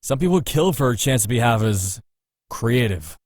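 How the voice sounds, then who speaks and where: A man playing a gruff but protective and reassuring companion in a visual novel game. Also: medium pitch, sing-song, moderate pace, no room sound, studio quality. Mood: blunt encouragement.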